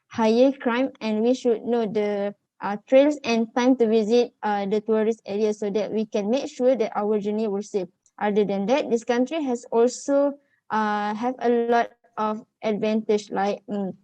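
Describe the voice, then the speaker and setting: A woman in her twenties with a Malaysian accent, giving her views haltingly in a student group discussion. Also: a little high, mellow, no room sound. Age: 20s. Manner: hesitant, halting delivery.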